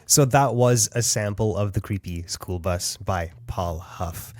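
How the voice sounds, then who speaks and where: A man's voice: lowish voice, measured pace, a little mellow, no echo. A man hosting an audiobook podcast, interviewing an author in an enthusiastic, chatty way. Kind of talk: casual interview.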